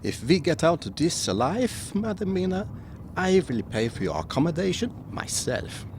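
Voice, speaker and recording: Older professor, grim vampire hunter, very animated voice, formal and bossy, bit of background noise. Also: male voice, fairly high pitch, normal speed. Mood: wry. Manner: formal, commanding, tags questions with "yeah". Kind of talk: dramatic audio drama acting.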